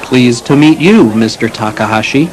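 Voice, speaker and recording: An actor playing a polite sales manager in a scripted textbook dialogue, speaking clearly. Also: male voice, medium pitch, moderate pace, natural voice, poor audio.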